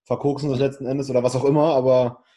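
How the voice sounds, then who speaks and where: A man's voice: mid-range voice, little pitch movement, fast, mellow. A man hosting a casual interview, asking loose, chatty questions. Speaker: podcast host.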